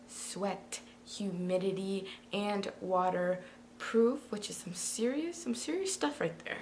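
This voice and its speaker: A woman reviewing a product in a casual, chatty way, talking straight to her viewers, with a slightly raspy early-morning voice. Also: medium pitch, a little mellow, a little hiss or hum. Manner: chatty, talks to viewers directly. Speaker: beauty vlogger.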